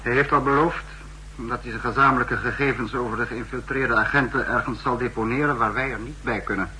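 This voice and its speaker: An actor in a 1960s Dutch radio drama playing a ruthless state leader, curt, measured and commanding. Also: male voice, mid-range voice, fairly even intonation, pushed and bright, low-quality recording. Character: authoritative, coolly ruthless male state leader chairing a meeting. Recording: old 1960s radio recording, faint hum.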